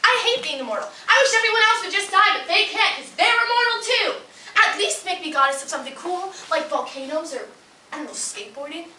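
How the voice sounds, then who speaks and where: A woman's voice: extremely high pitch, lively intonation, fast talker, sharp tone, voice pushed up, full character voice. A woman playing a petulant young goddess in a comedic stage monologue, whining and exaggerating like a teenager. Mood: petulant gossiping. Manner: whiny, exaggerated teen-like storytelling. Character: petulant, bratty young goddess, ancient yet treated like a kid. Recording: distant, echoey room with faint noise.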